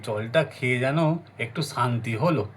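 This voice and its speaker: A man's voice: mid-range voice, moderate pace, fairly bright tone, a little hiss or hum, lightly acted. Ailing householder, male performer for children's doll play: tired, subdued, plain-spoken villager. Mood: weak, subdued.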